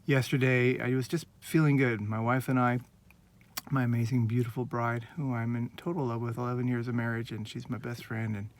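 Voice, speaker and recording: A man's voice: medium pitch, little pitch movement, brisk, slight background noise. A man in his forties from Northern California, talking in an earnest, rambling, heartfelt way in a casual personal vlog, recorded on a handheld phone. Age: 40s. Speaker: vlogger.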